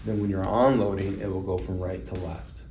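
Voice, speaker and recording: A man's voice: deep voice, fairly even intonation, brisk, mellow. A man giving an instructional product demonstration, explaining things plainly and step by step. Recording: roomy echo, distant mic, music underneath.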